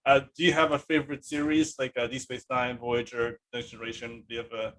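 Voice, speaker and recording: A man's voice: medium pitch, flat delivery, quick pace, a bit bright. A man hosting a casual interview, chatting enthusiastically in a rambling way and often repeating himself for emphasis. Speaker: podcast host.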